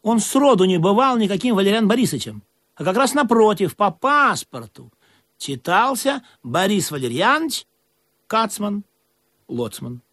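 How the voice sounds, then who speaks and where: Expressive, ironic male narrator. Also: high voice, normal speed. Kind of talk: radio play narration.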